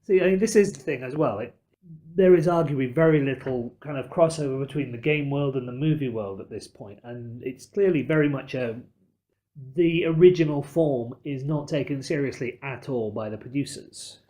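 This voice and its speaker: Man, mid-pitched voice, podcast host, analytical, wordy, discussion style. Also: normal speed, mellow. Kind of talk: film and game discussion.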